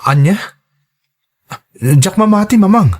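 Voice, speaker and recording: A man's voice: high-pitched, animated, takes it slowly, darkish tone, exaggerated voice. A man playing a hurt, indignant young son who feels betrayed, in melodramatic audio drama style. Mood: shocked, hurt. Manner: emotional, reproachful.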